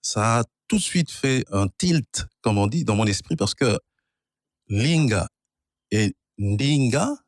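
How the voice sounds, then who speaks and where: Un homme d'origine angolaise, de langue maternelle kikongo, qui explique la linguistique d'un ton posé et professoral. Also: male voice, mid-range voice, lively intonation, no room sound, studio quality. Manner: measured, professorial, spells out words. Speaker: guest, linguist and author. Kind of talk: explanatory interview answers.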